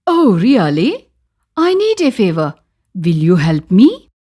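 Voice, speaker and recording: A woman's voice: medium pitch, huge pitch swings, slow, warm tone, pitched below the performer's own voice, exaggerated voice. Woman as a complaining aging Indian mother-in-law. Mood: anxious. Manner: exclamatory, complaining. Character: aging Indian mother-in-law, bitter, nagging but loving.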